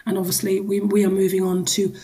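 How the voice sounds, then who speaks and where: A woman's voice: mid-range voice, monotone, fast talker, mellow. A woman being interviewed as a charity representative, explaining things conversationally and often circling back over her phrases. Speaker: charity executive, interview guest.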